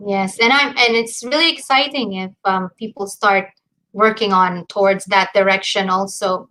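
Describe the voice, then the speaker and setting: A woman's voice: medium pitch, steady tone, normal speed, sharp tone, low-quality recording. A woman from the Philippines whose native language is not English, speaking earnestly as a guest over a video call and laughing easily. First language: Cebuano. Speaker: guest artist. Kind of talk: online conversation, interview answers.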